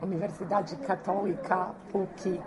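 A woman from Croatia speaks as an interview guest in somewhat low-pitched, careful, halting Portuguese that is not her first language. Now and then she slips into Spanish words. A crowd murmurs clearly in the background. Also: little pitch movement. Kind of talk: casual interview chat.

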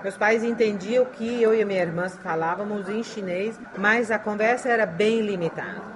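A middle-aged woman from Brazil who grew up in China, answering questions in a halting, conversational way. She restarts her phrases often and ends sentences with tag questions. Crowd chatter is clearly heard behind her voice. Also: mid-range voice, rough recording. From Brazil, raised in China. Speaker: interviewee. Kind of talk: casual interview.

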